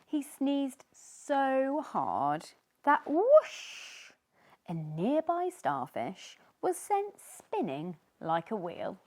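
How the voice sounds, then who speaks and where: A woman reading a children's story aloud in an expressive, dramatic, drawn-out way. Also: high voice.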